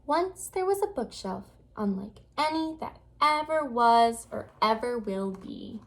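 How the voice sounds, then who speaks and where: A woman voicing a friendly, encouraging young girl in a children's storybook, speaking gently. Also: fairly high pitch, expressive, measured pace. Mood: proud, tender. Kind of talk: storybook character voice.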